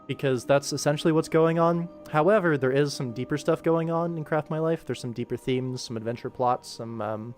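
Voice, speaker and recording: Casual man streaming over loud music. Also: on the high side, a little fast, few pauses. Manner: informal, thinking aloud while working. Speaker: animation production streamer. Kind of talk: casual livestream work commentary.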